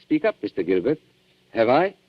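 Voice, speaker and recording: A suave, sneering radio-thriller blackmailer, swooping pitch. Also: male voice, very animated, moderate pace. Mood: mocking. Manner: smooth, mocking, theatrically polite. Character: suave, sneering male blackmailer posing as antique dealer. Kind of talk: 1940s radio thriller acting. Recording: muffled 1940s radio recording.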